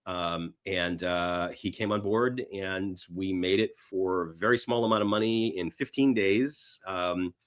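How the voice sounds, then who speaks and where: A man's voice: deep voice, a little slow, hardly any pauses. A man being interviewed, telling stories casually in a rambling way and acting out imagined bits of dialogue. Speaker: podcast guest, screenwriter.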